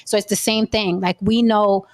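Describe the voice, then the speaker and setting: A woman's voice: mid-range voice, fairly even intonation, normal speed. A woman from Puerto Rico speaking bluntly and with profanity as she gives her opinions in a casual panel discussion.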